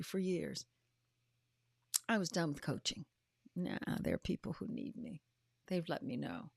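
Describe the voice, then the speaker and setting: A woman in her fifties or older giving a motivational talk as a host, with emphatic, drawn-out delivery that often drops into breathy, hushed phrases. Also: on the low side, no echo, clean studio sound. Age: 50s or older. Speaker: podcast host and coach. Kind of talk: motivational livestream talk to followers.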